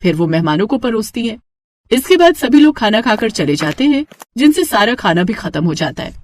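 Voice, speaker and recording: A woman's voice: on the high side, very animated, brisk, slight background noise. A woman narrating a scripted moral tale as a storyteller.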